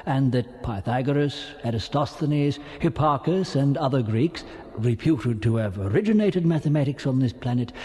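Man playing a mellow, higher-voiced scholar, reverberant. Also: natural voice. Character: scholarly male author expounding ancient mysteries. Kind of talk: scripted quotation reading in audio documentary. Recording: long hall-like reverb.

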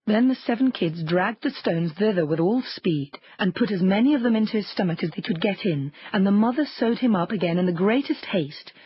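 Woman reading kids fairy tales, bit husky, really dry sound, slightly dull recording. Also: mid-range voice, no room sound. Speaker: audiobook narrator. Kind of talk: scripted children's fairy tale narration.

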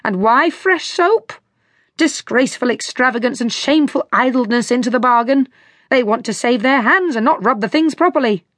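A shrill, blustering old shoemaker who finds fault with everything, as a woman puts him on. He rants in a high, nagging voice and scolds everyone near him. The storybook reading sounds close and dry. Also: normal speed, no room sound, close to an everyday voice. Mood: ranting. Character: grumpy fault-finding old male shoemaker.

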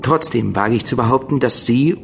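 An actor playing a polite, wordy young gentleman tenant who narrates and speaks with formal, elaborate courtesy in a radio drama. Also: mid-range voice, normal speed, gravelly, a little hiss or hum, natural voice.

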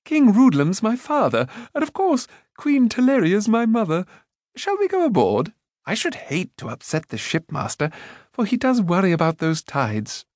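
A cheerful, scatterbrained young prince in an audiobook, as a man puts him on. The voice is pitched well up and bounces around as he chatters breezily. The recording is dry and close. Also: huge pitch swings, normal speed, a little mellow, dry recording. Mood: breezy. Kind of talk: audiobook character voice.

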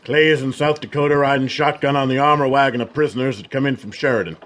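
An actor playing an earnest, stubborn Old West sheriff with a folksy American accent in a radio western. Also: male voice, medium pitch, fairly even intonation, quick pace, fairly bright tone, close to an everyday voice. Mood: grave. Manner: plain-spoken, folksy.

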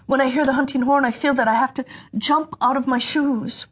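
Woman as an eager, high-voiced young deer. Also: fairly even intonation, fairly quick, fairly bright tone, husky. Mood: excited, insistent. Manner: earnest, impulsive. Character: eager, restless young male deer, bewitched brother. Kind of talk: children's fairy tale storytelling.

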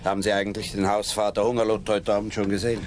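Man playing a low-voiced terse police sergeant. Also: flat delivery, fast, noisy background, close to an everyday voice. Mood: dry, probing. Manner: terse, dry questioning. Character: terse, probing Swiss police sergeant on a case. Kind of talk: German radio drama acting.

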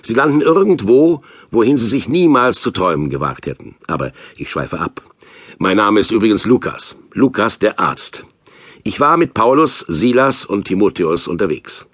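A man playing a physician who narrates Bible adventures in a measured, reflective way for a children's audio drama. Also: on the low side, animated, a bit bright, dry recording, studio quality.

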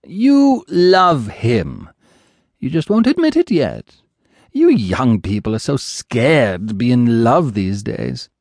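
Guy putting on a sweet nosy old ghost lady witch, gravelly and high, swoops all over the place, slow and drawn out, dry close sound. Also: wildly swooping pitch, a little mellow, no room sound, heavily acted. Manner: fluttery, motherly, teasing, calls others dearie.

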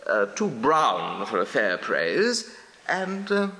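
An actor playing a witty, mocking bachelor lord who scorns love, in bantering, declamatory Shakespearean stage style. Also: male voice, medium pitch, big pitch swings, slow pace, bright, forceful tone, echoey room, long reverb tail, heavily acted. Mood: teasing. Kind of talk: theatrical Shakespearean stage acting.

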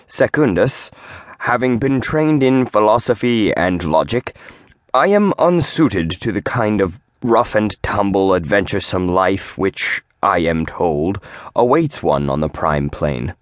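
A man's voice: on the low side, very animated, measured pace, no room sound, studio quality. A man voicing an earnest young male demon scholar who pleads formally and a little nervously. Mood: pleading.

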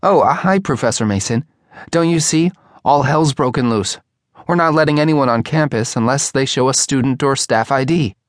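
A man voicing a flustered, excitable male gate guard in an audiobook story. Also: mid-range voice, normal speed, no room sound, clean studio sound, pitched above the performer's own voice, lightly acted. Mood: flustered, alarmed.

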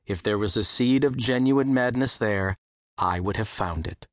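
A man acting a gentle, teasing wizard and teacher in an audiobook, with a light voice and articulate, slightly formal speech. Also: on the low side, moderate pace, no room sound, clean studio sound, close to an everyday voice. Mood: reassuring. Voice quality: light male voice.

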